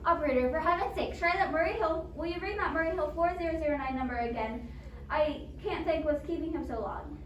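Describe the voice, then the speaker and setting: A woman's voice: high voice, a little fast, muffled recording, close to an everyday voice. A woman playing an anxious, demanding bedridden wife in a suspense play, stammering and insistent. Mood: frantic, exasperated. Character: anxious, demanding bedridden invalid wife, fretful and self-absorbed. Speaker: amateur drama club actor. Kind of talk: stage adaptation of a radio suspense play. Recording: roomy echo, distant mic, background noise.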